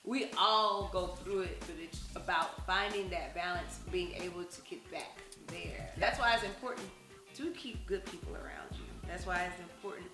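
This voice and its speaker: A woman co-hosting a casual talk show, chatting animatedly and sometimes slipping into a soft, soothing voice. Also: on the low side, normal speed, echoey room, over music. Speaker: podcast co-host. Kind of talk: casual chat.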